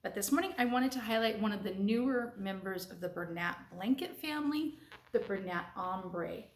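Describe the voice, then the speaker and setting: A chatty, upbeat woman talks through a crochet tutorial, her voice a bit sniffly and stuffy. Her pitch sits in an ordinary middle range. The room around her is noticeably echoey. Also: slight background noise. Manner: chatty, enthusiastic, friendly.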